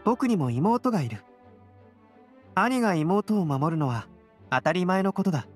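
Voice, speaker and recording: A woman voicing a serene young male intellectual in an anime game, calm, reflective and polite. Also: fairly low pitch, measured pace, faint music behind. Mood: calm, curious. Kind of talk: Japanese anime game voice acting.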